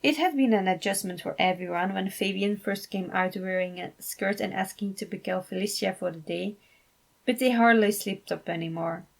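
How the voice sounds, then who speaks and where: A woman's voice: mid-range voice, moderate pace, creaky. A woman reading scripted fan fiction aloud as its narrator.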